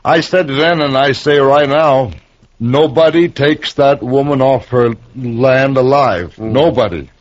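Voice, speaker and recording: A man's voice: medium pitch, measured pace, natural voice. An actor in an old radio western playing a tipsy railroad man who talks in a rambling, emphatic way. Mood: tipsy, admiring. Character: tipsy, talkative railroad men drinking in a hotel. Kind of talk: 1950s radio western acting. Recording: muffled old radio recording.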